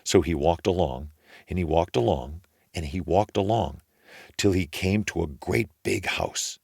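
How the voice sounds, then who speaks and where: A man narrating a fairy tale for children in an expressive, measured storytelling manner. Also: deep voice, vocal fry, slightly rough, dry recording, studio quality.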